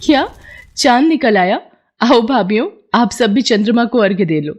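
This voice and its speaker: A woman's voice: fairly high pitch, expressive, normal speed. A woman voicing a devout, stubborn, tender young wife in a folktale, with an earnest, emotive delivery. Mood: eager.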